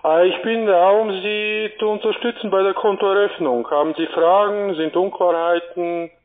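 A man's voice: high voice, fairly even intonation, normal speed. Formal male telesales agent on phone line. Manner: formal, courteous, explanatory. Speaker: call centre sales agent. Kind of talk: sales pitch over the phone. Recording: tinny narrow phone-line sound.

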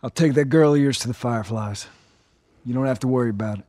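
An actor playing a guarded, resentful younger brother in a video game, firm, with a drawl. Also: male voice, mid-range voice, fast talker, warm tone, dry recording, clean studio sound, natural voice. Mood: resigned. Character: guarded, resentful younger brother, rugged adult male survivor. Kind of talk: dramatic video game acting.

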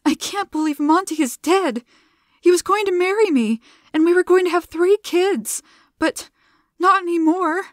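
A woman voicing a grieving young heroine who is also a fierce fighter, with a shrill, distraught delivery. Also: moderate pace, no room sound, studio quality.

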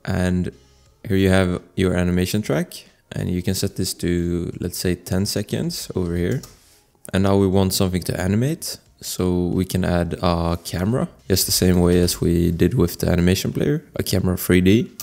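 A man presenting a casual software tutorial as a voice-over, explaining informally step by step into a close microphone. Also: deep voice, fairly even intonation, moderate pace, vocal fry. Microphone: close desktop microphone voice-over. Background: music.

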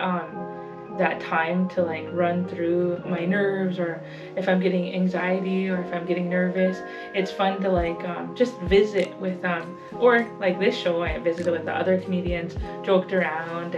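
A woman in her thirties, a comedian, answering casually and chattily in an interview. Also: fairly low pitch. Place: small backstage dressing room. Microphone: camera across the room. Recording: distant camera mic, music behind.

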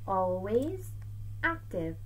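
A middle-aged woman teacher giving an expressive, emphatic read-aloud lesson for children, recorded on a webcam in a room at home. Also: medium pitch, drawn-out words, a little hiss or hum, rough recording. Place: small room at home with bookshelves, indoors. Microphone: webcam at arm's length.

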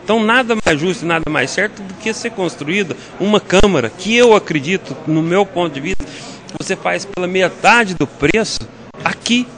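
Brief Brazilian man in his 40s, slightly high voice, insistent and quick, handheld mic indoors. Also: animated, fairly bright tone. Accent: Brazilian Portuguese. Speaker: city councilman. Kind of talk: interview answer. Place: council chamber indoors. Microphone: handheld reporter mic.

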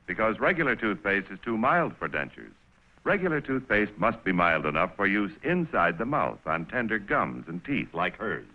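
A man's voice: on the low side, lo-fi sound. A man announcing a 1960s TV commercial with an emphatic, persuasive sales delivery, on a vintage film soundtrack.

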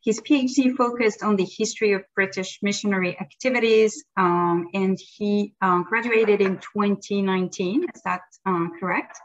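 A woman's voice: mid-range voice, moderate pace, few pauses. Middle-aged female moderator, courteous introductions, dull video call audio. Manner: polite, slightly apologetic. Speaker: panel moderator. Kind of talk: moderating a conference panel. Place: office with shelves.

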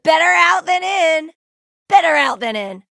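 A woman's voice: extremely high pitch, animated, unhurried, gravelly, fairly bright tone, dry recording, studio quality, full character voice. A woman voicing a brash, playful, laid-back young female gem warrior in a cartoon video game, with a cheeky, slangy, exclamatory delivery. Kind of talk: cartoon video game voice acting, short barks.

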